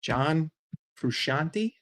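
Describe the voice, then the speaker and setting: A young male streamer with a fairly high-pitched voice talks loosely and joking with his chat. He swears freely and keeps it casual. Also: expressive, drawn-out words, a bit bright. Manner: profane, joking, reacting to chat.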